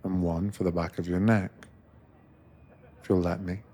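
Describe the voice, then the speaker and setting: A man narrating a soothing ASMR guided meditation as an attentive sleeper train attendant, gentle and unhurried. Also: sits low, level tone.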